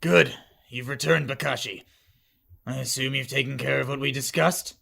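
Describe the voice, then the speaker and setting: A man voicing a stern, hot-headed alien war commander in an animation, with a gruff, commanding and impatient delivery. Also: medium pitch, fairly even intonation, normal speed, bright, forceful tone, exaggerated voice. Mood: stern, scornful. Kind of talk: amateur animation voice acting.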